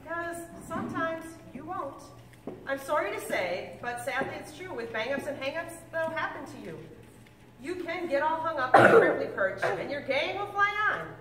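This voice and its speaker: A woman reading a picture book aloud with lively, expressive delivery, now and then stumbling and correcting herself. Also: measured pace, noisy background. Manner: expressive storybook reading with big pitch swings. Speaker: reader. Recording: echoey room, mic at a distance.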